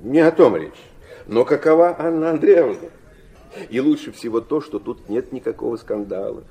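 An actor playing a worldly middle-aged gentleman father in a radio drama, speaking with mocking, theatrical irony. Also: mid-range voice, animated, moderate pace, lightly acted. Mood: amused, ironic. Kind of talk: Russian radio drama acting.

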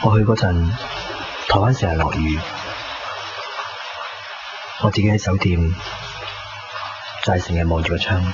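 Actor as lovelorn young man, lowish voice. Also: normal speed, long pauses, very noisy, natural voice. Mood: pensive. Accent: Hong Kong Cantonese. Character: lovelorn young man, quiet, melancholy, living alone. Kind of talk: 1990s radio drama acting. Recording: dull lo-fi radio recording under loud music.

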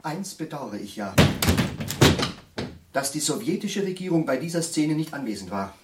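A man playing an absent-minded, nervous chemistry professor in a radio play, formally polite and often halting as he gropes for words. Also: fairly high pitch, big pitch swings, very noisy. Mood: wry. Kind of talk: German radio play acting.

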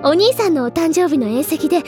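A bubbly, stubborn schoolgirl bookworm in an anime-style audio drama. The voice is very high and swoops with lively, theatrical enthusiasm as she lectures and gushes. Music plays behind her. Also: fairly quick. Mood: gushing delight. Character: bubbly, stubborn, literature-obsessed high school girl, club senior.

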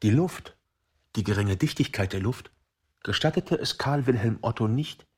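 An actor playing a scholarly male storyteller with a husky voice, giving measured exposition in a literary radio play. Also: mid-range voice, animated, a little mellow. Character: husky scholarly storyteller of absurd flight tale.

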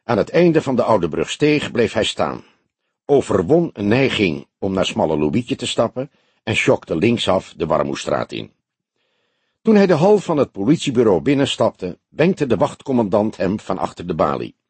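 A man's voice: on the low side, sing-song, normal speed, a little mellow, husky, dry recording. A man narrating a scripted story as an audiobook reader.

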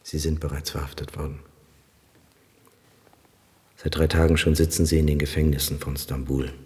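Grave armenian priest, super deep voice, solemn n measured, radio play, echoing hall. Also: male voice, little pitch movement, a little mellow. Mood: grave, cautious. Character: grave, resigned, cautious Armenian village high priest. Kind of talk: German radio drama acting.

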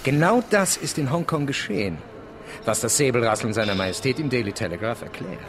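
An actor playing a world-weary, sardonic travel writer, speaking with dry literary irony in a radio drama. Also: male voice, mid-range voice, lively intonation, a little fast, noisy background, close to an everyday voice. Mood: sardonic, probing. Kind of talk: radio drama narration and dialogue. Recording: music behind the voice.

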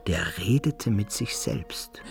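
A man's voice: fairly low pitch, expressive, takes it slowly, creaky, faint music behind, full character voice. An actor narrating a radio play in the first person as a worldly adventurer recounting his exploits with wry storytelling.

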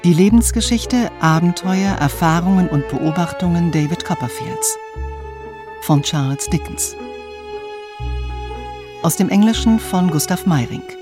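Low-voiced woman announcer over violin music. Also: animated, unhurried, pauses often. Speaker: title announcer. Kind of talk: formal scripted radio announcement.

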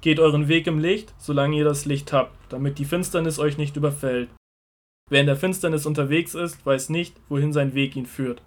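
A male actor plays an earnest prophet and teacher in a Bible radio play. His voice sits somewhat high for a man. He makes solemn, measured declarations. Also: fairly even intonation, close to an everyday voice. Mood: solemn, urgent. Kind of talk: audio drama Bible dialogue.

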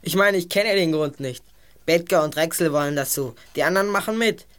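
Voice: mid-range voice, brisk, a bit bright, natural voice. An actor playing an evasive, conformist teenage schoolboy in a radio play. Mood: evasive, resigned.

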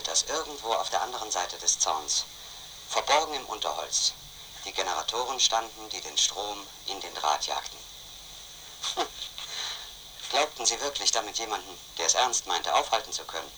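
A man's voice: on the low side, animated, moderate pace, pushed and bright, a little hiss or hum, poor audio. An actor playing a brooding, obsessed man who narrates his own investigation in a radio play, intense and questioning himself. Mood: brooding, agitated.

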